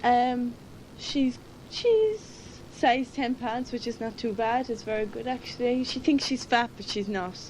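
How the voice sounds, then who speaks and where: A chatty, giggly girl in her late teens with a Cork Irish accent, teasing her friend on a home tape letter. Her voice sits a little high. A loud cassette hiss runs under everything. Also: lively intonation, measured pace, hardly any pauses, rough recording. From Ireland. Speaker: schoolgirl recording a tape letter. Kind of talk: casual chat recorded on a home cassette. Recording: hissy 1980s cassette tape.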